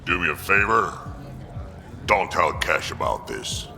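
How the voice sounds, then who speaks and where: Gruff old alien brute for a game, slow deep growly voice, blunt, battle-loving. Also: male voice, sing-song, pushed and bright, big-room sound, faint music behind, full character voice. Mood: weary, gruff. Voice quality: deep, gravelly. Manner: blunt, world-weary. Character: gruff, aging male alien krogan warrior, battle-loving. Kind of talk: video game voice acting.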